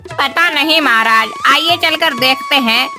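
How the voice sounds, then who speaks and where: A woman's voice: sits high, unhurried, music in the background. A woman playing an obliging royal minister who speaks respectfully and formally, in a cartoon story. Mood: deferential.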